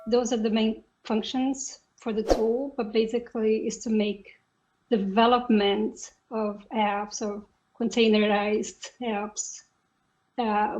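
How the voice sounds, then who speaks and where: Slow, halting Brazilian woman. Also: a little high, rough recording. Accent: Brazilian Portuguese. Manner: halting, pauses often to search for words. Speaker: podcast guest, software engineer. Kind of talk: casual interview talk.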